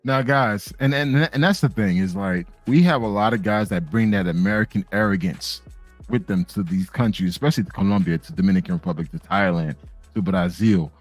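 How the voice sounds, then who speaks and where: A man's voice: on the low side, fairly quick, faint music behind. A man hosting a casual live conversation, talking with emphatic swings when he stresses a point. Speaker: host.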